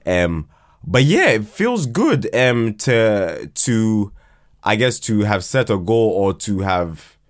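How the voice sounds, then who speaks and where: A man chatting casually as a podcast guest, halting and often repeating words as he finds his way. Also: lowish voice, big pitch swings. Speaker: podcast guest, fiction podcast creator. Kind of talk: casual chat.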